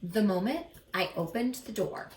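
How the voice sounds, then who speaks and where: Animated woman storyteller in an echoey room. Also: medium pitch. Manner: animated, dramatic pauses, teacherly questions. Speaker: read-aloud storyteller. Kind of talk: expressive picture-book reading with asides to young listeners. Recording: echoey room, slightly distant mic.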